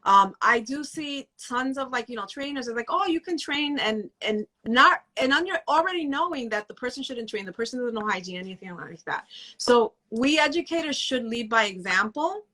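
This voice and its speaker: Woman guest educator, earnest and emphatic, with a bright, slightly high voice. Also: expressive, moderate pace, rough recording. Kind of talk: casual podcast chat.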